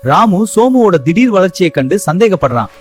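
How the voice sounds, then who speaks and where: Male storyteller, highish pitch, animated intonation, scripted moral-tale delivery. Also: brisk, soft background music. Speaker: narrator.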